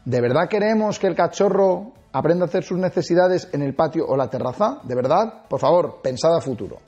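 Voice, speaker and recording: An energetic man with a Castilian Spanish accent, speaking to camera as a dog trainer. His voice sits a little high and jumps up for emphasis, recorded on a lapel mic in a small studio set with faint music underneath. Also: a little fast, lo-fi sound. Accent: Spanish from Spain. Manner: animated, big hand gestures, emphatic swings in pitch. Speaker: dog trainer and channel host. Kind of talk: energetic tips video to camera.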